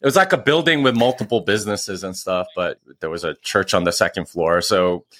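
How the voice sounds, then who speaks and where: Low-voiced Korean-born man, reflective. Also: fairly bright tone, dry recording. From South Korea. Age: 40s. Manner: reflective, pausing with frequent restarts. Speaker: podcast guest. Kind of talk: conversational interview.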